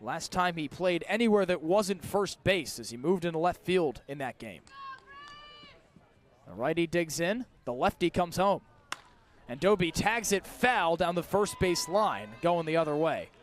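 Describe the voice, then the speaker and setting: A high-voiced man works as a baseball play-by-play announcer. He delivers live radio commentary, following the game pitch by pitch. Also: lively intonation, unhurried.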